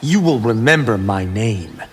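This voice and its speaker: An actor playing a cocky, brash young monk fighter in a video game, boasting quickly in a husky voice. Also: male voice, on the low side, sing-song, heavily acted. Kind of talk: video game combat banter. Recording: echoing hall, music behind.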